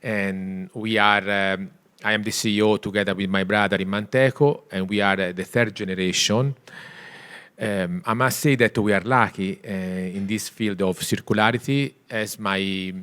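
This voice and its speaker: A middle-aged Italian man speaking English as a second language with an Italian accent, pausing often and filling gaps with hesitation sounds on a store panel. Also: lowish voice, fairly even intonation, a bit bright, clear, resonant tone. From Italy. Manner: hesitant, many filler sounds, searching for English words. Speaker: CEO of a textile mill, panel guest. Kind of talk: panel discussion. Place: retail store event space.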